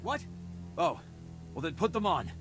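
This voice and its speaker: A man's voice: on the high side, big pitch swings, unhurried. An actor playing a worried male starship officer, grave and earnest, in a sci-fi video game. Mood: alarmed. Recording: faint music behind the voice.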